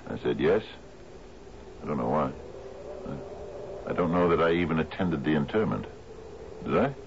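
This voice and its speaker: A gravelly, very deep-voiced sea captain broods in a radio mystery play. He speaks in halting, formal phrases, weighed down by grief. The old broadcast is muffled, with clear noise over it. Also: lively intonation, exaggerated voice. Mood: regretful. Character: troubled, grieving sea captain, master of an island house. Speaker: actor in a radio mystery drama. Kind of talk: 1970s radio drama acting.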